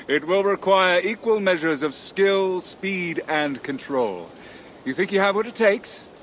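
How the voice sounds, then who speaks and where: A proud snarky viking challenge master, slow and haughty, high for a man. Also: animated, fairly bright tone, a little hiss or hum, full character voice. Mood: challenging. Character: proud, sharp-tongued male Norse horse-challenge master. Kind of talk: dramatic video game acting.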